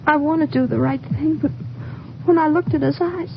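An anxious young woman with a high voice and halting delivery. It is 1950s radio crime drama. The sound is dull, with faint noise. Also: steady tone, gravelly. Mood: anxious, torn. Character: frightened, hesitant young female crime witness.

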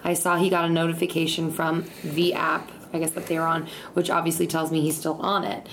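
A woman's voice: low-pitched, little pitch movement, fairly quick. A young woman co-hosting a podcast in casual, slangy conversation. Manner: slangy, informal. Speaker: podcast co-host. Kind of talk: casual chat. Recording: noisy room.

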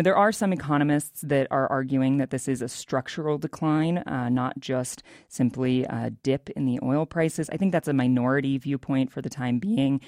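A woman's voice: extremely low pitch, a little mellow, no echo, studio quality. A woman with an American accent speaking as a radio reporter, explaining the news off the cuff in an interview.

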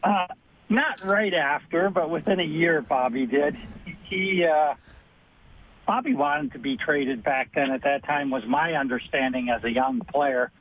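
A former hockey player as guest in a casual interview, speaking in a measured, reflective way. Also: male voice, fairly high pitch, no room sound. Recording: tinny phone line.

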